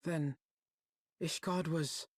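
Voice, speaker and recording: A man's voice: fairly high pitch, steady tone, extremely slow, dry recording, clean studio sound. A man playing a wary, probing comrade in a video game cutscene, speaking in a formal, pointed, questioning way.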